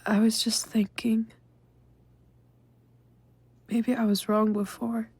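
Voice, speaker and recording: Breathy, very slow dreamy teenage girl. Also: fairly high pitch, little pitch movement, darkish tone, dry recording, exaggerated voice. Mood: tender, hopeful. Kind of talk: naturalistic video game acting.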